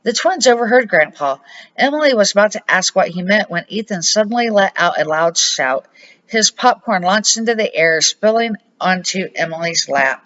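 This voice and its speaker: A woman's voice: medium pitch, expressive, moderate pace, a bit bright. An older woman reading a children's storybook aloud as an amateur narrator. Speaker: amateur storybook reader, a grandmother. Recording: dull lo-fi home recording.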